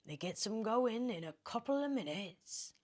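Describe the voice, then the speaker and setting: A British woman voicing a mysterious, excitable old man in a storybook, speaking in a theatrical, commanding, drawn-out way. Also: on the high side, pitched above the performer's own voice. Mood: urgent, secretive. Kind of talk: animated storybook character reading.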